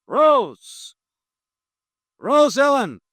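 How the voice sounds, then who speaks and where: An actor playing a brisk, skeptical man in a 1950s radio drama. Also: very high voice, big pitch swings, a bit bright, no room sound, full character voice. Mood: urgent.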